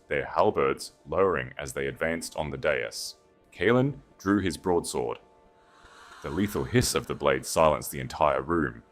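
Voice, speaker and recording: Male audiobook narrator, bass register, dramatic fantasy storytelling over soft music. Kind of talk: dramatic scripted narration of a romantic fantasy tale.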